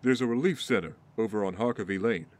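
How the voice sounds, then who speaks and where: A man's voice: lowish voice, quick pace, close to an everyday voice. A man playing a principled, guarded ex-Marine in an audio drama. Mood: kind, earnest. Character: principled male ex-Marine, guarded, righteously indignant.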